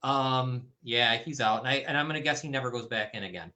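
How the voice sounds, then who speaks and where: A man hosting an online talk show speaks in a bright, forward tone. He rambles a little and restarts his sentences often, as in loose conversation. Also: medium pitch, a little fast. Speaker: host. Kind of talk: casual talk show discussion. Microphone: streaming call setup.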